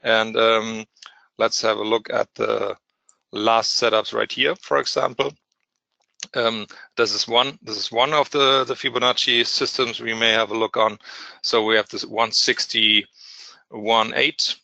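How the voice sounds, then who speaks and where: A man's voice: on the low side, level tone, a little slow, gravelly, pushed and bright, dry recording, low-quality recording. A man presenting a live trading setup, hesitant and full of fillers and restarts as he works through charts. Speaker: day trader presenter. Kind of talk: live market commentary.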